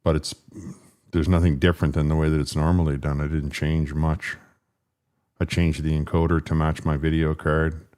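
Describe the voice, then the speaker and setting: A man with a deep, rumbling voice hosting a casual livestream, rambling and frustrated as he fixes his stream settings. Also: little pitch movement, moderate pace, a little mellow. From Canada. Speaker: political livestream host.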